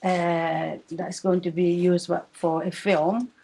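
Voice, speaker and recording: A woman from DR Congo, a hair braider, talking in an interview, animated and often laughing. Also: on the low side, slow, creaky, breathy edge.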